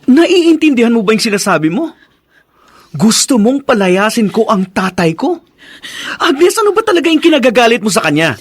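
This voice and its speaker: A man's voice: very high-pitched, big pitch swings, moderate pace, breathy edge, no echo, studio quality, exaggerated voice. A man acting in a radio drama as a troubled young husband, earnest and pleading, torn between his wife and his father. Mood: shocked, defensive.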